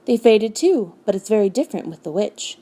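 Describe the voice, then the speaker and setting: A woman's voice: medium pitch, sing-song, a little fast, dark tone. Woman playing a cold, bitter dwarf rebel. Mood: scornful. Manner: sneering, argumentative, snapping.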